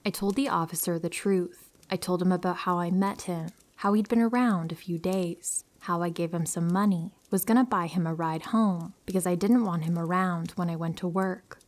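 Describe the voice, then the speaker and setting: A woman with a fairly low voice reads true horror stories aloud. Her delivery is calm and even, the way a narrator tells a story meant for sleep. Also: moderate pace, few pauses.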